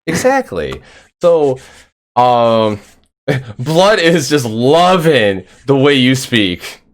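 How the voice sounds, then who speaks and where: Male podcast host, rambling, filler-heavy, upbeat, mid-pitched voice. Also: big pitch swings, extremely slow. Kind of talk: casual interview chat.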